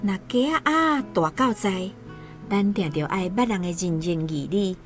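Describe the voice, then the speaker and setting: A woman's voice: mid-range voice, lively intonation, unhurried, natural voice. Hardworking Taiwanese mother, played by an actress, guitar behind. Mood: earnest. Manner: homely, earnest, admonishing. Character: hardworking, stoic, loving Taiwanese village mother. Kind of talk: radio drama acting. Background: soft guitar music.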